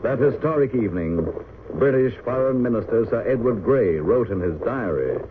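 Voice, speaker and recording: A man's voice: medium pitch, lively intonation, normal speed. A man narrating an old radio drama in a grave, theatrical, formal announcer's style. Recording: noisy narrow-band old radio recording.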